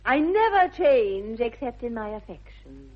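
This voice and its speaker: A woman's voice: a little high, very animated, measured pace. A young woman with an upper-class English accent playing a romantic, self-assured society lady in a radio comedy. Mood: adoring, insistent. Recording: dull old radio recording.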